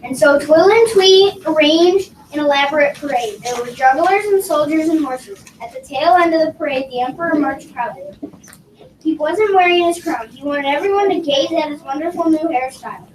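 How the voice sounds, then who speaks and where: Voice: high voice, normal speed. A young child reading a script aloud carefully, as a student performer in a school reader's theater. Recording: echoey noisy room, distant microphone.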